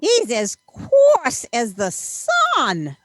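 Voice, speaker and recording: A woman playing a haughty, pale ghost in a radio play, with theatrical, disdainful, drawn-out delivery. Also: sits high, wildly swooping pitch, no echo, studio quality, full character voice.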